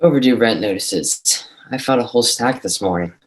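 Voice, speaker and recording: Reserved, doubting son played by a man, middle-pitched voice, dramatic table read. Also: a little fast, lightly acted. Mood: skeptical. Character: reserved, skeptical son of a troubled mother.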